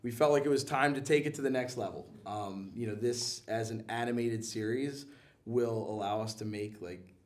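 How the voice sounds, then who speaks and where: A man with a medium-pitched voice chats casually as an interview guest, picked up a little way from the microphone. He sounds enthusiastic and talks in loose slang with a joking streak. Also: fairly even intonation, moderate pace. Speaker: guest, comic book and animation producer. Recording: voice somewhat far from the mic.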